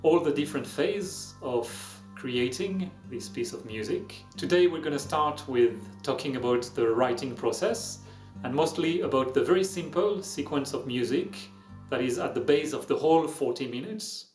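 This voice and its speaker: Male host, French accent, dry self-mocking wit, mid-pitched, roomy sound with faint music behind. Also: animated, normal speed, darkish tone. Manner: self-deprecating, wry humor. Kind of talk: casual explanatory vlog narration.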